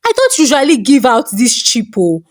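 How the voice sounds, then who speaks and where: Woman playing a confident stout landlord, animated. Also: fairly high pitch, moderate pace, dry recording. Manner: confident, smooth-talking. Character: short, stout, smiling, confident male landlord.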